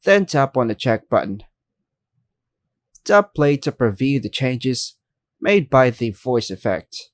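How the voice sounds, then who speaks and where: A man's voice: mid-range voice, very animated, takes it slowly, long pauses, dry recording, clean studio sound. A man hosting a step-by-step tutorial, reading scripted instructions plainly in short segmented phrases. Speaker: tutorial host.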